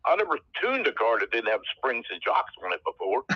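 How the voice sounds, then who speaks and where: A man's voice: medium pitch, sing-song, brisk, slightly rough, no echo. An American man talking as a guest over the phone from his office, a folksy, joking storyteller. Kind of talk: casual phone interview. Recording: tinny phone line.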